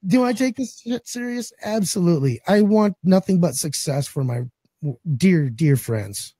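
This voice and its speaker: A man's voice: high voice, expressive, moderate pace, mellow, slightly rough, no echo. Blunt, profane man with a cracking voice. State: recovering voice, some cracking. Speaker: podcast co-host. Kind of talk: casual chat.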